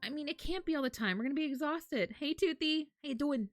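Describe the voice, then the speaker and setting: Woman, livestreamer, slightly high voice, very lively pitch, casual reactive chat, informal. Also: fast talker, no echo, faint music behind. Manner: informal, mild swearing. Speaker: livestreamer reacting to a game announcement.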